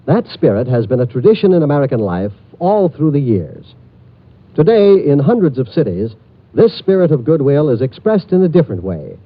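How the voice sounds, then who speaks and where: A man announcing credits and a public service appeal in formal 1950s radio style, on an old lo-fi recording. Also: fairly high pitch. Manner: smooth formal announcer delivery. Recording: dull lo-fi old radio transcription.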